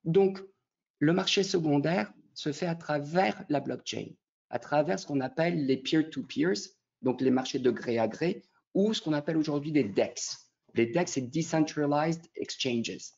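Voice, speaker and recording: A man's voice: on the high side, measured pace, gravelly, a little mellow. Man explaining, slightly muffled audio. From Quebec, Canada. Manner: didactic, poses questions then answers them. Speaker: interview guest, real estate tokenisation company representative. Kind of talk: explanatory interview talk.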